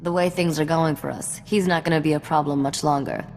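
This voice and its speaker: A woman's voice: sits low, fairly even intonation, fairly quick, gravelly, darkish tone, long reverb tail, slight background noise. An actress playing a shrewd, self-assured woman mechanic among space smugglers, with a dry, sardonic manner, in a video game. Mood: wry. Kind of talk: video game cutscene acting.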